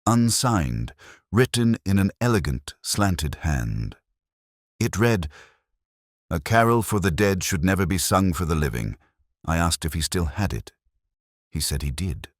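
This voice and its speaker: A man narrating a scripted first-person detective story in a measured, literary manner. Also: sits low, very animated, no room sound, clean studio sound.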